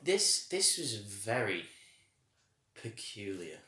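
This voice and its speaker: A man co-hosting a podcast, chatting with eager enthusiasm. His voice sits a little low but sounds bright and forceful. The room is noticeably echoey. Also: sing-song, takes it slowly. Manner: enthusiastic, laughs often, acts out reactions. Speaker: podcast co-host. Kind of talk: casual review chat.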